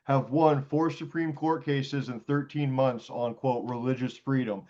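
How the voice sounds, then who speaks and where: A man's voice: medium pitch, steady tone, a little slow, mellow. A man hosting a panel and giving blunt, indignant unscripted commentary. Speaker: panel host. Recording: dull, lo-fi audio.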